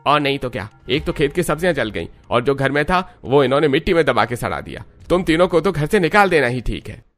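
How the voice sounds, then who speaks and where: A man's voice: mid-range voice, sing-song, fast, a bit bright, faint music behind. A man voicing an angry village husband in a cartoon, harsh and reproachful. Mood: angry, scolding.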